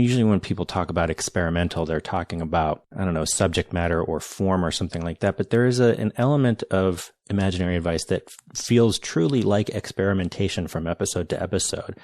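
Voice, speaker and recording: Deep gravelly-voiced male podcast host. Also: fast talker, a little mellow, dry recording, clean studio sound. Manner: earnest, enthusiastic, hesitant with restarts. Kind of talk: read intro then casual interview chat.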